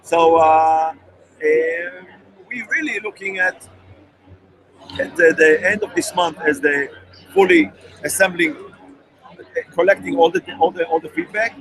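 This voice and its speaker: Brief: man, company representative, fast pitch with restarts, voice a bit high. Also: fairly bright tone, a little hiss or hum, poor audio. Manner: fast, with many restarts and repeated words. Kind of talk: on-the-spot interview.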